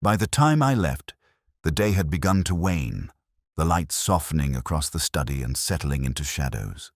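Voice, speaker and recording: Man, very low voice, expressive intonation, measured and contemplative, formal literary narration, detective story. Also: dry recording, clean studio sound. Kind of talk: scripted literary first-person narration.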